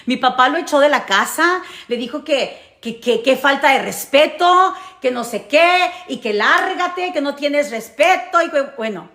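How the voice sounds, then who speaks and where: Higher-voiced Mexican-accented woman in an echoey room. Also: expressive, unhurried, few pauses, pushed and bright. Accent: Mexican Spanish. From United States, grew up in New Jersey. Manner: emphatic, repeats phrases for effect, checks in with listeners. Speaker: storytelling vlogger. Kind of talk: casual personal storytelling to followers.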